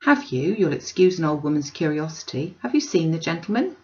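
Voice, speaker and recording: A woman's voice: deep voice, big pitch swings, fairly quick, mellow, dull audio. A woman voicing a chatty, eager old country woman with earnest, polite, rustic speech in a storybook reading. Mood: eager curiosity.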